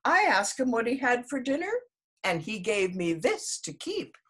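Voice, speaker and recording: Woman playing an eager, high-pitched young elephant boy. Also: sing-song, unhurried, fairly bright tone, husky, full character voice. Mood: matter-of-fact. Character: polite, eager, cheeky young male elephant child. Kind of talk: children's storybook read aloud.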